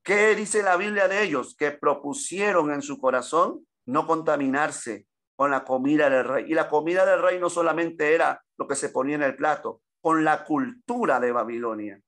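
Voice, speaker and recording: A man's voice: fairly high pitch, moderate pace, fairly bright tone. A man from Peru teaching a Bible class at a church, often breaking in with praises and calling listeners "brother" as he reads and explains scripture. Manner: peppers speech with "gloria al nombre del Señor" and "amén"; addresses listeners as "hermano".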